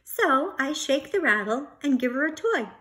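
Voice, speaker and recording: A young storybook bear cub , voiced by a woman, earnest and sensitive. The pitch rises and swings with childlike emphasis. He sounds like a big brother who takes everything to heart. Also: big pitch swings, normal speed, fairly bright tone.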